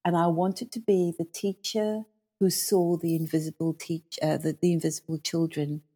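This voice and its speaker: A middle-aged woman from South Africa whose first language is Afrikaans, speaking as an interview guest and former school leader in a thoughtful, measured way, often pausing on fillers. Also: fairly low pitch, little pitch movement, warm tone, slightly rough. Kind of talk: reflective interview storytelling.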